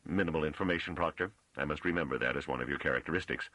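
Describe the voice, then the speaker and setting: A man voicing a haughty, peevish male scholar of high rank in an audiobook, pompous, curt and condescending. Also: racing, bright, forceful tone, no room sound, full character voice.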